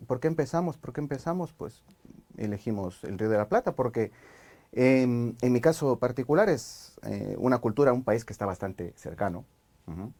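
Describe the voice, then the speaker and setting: A man from Ecuador with an Ecuadorian highland accent, a Spanish teacher chatting on a podcast, thoughtful and hesitant with many fillers. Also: animated, normal speed, warm tone. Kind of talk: casual podcast chat.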